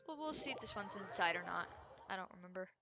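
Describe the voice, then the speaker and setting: A woman's voice: medium pitch, expressive, quick pace. A woman game streamer giving casual live commentary as she plays. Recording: game music behind.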